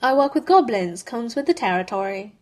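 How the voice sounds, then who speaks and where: A woman's voice: a little high, sing-song, a little fast, darkish tone. A woman reading aloud as an earnest young male wizard, keeping a mild and pleading tone.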